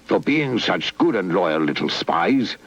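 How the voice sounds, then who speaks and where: A man's voice: deep voice, sing-song, measured pace, creaky, fairly bright tone, exaggerated voice. A man voicing a conceited, imperious puppet tyrant in a children's story. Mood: pompous. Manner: imperious, firm. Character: conceited, bossy male puppet villain, petty tyrant. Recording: muffled old VHS tape, music underneath.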